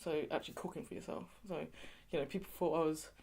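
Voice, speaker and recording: A woman's voice: low-pitched, steady tone, quick pace, vocal fry, a little mellow, breathy edge. Chatty woman interviewee from England. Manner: chatty, frequent "you know" and light laughs. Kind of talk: oral history interview.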